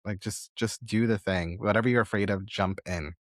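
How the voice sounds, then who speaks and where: A man's voice: lowish voice, fairly even intonation, moderate pace, no room sound, studio quality. A man hosting a conversational interview, speaking in an encouraging way and offering generous praise to his guest. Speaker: podcast host interviewing a guest.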